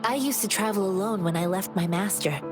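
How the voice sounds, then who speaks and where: Serene principled young wanderer voiced by a woman, measured delivery, mid pitch, music underneath. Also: natural voice. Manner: serene, measured, speaks in proverbs. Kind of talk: video game voice acting.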